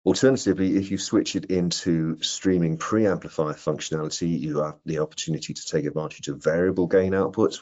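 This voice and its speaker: A middle-aged man with a husky voice giving a product training presentation on a video call and taking questions. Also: a bass voice, darkish tone. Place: indoor room on a video call. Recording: dull, lo-fi video call audio.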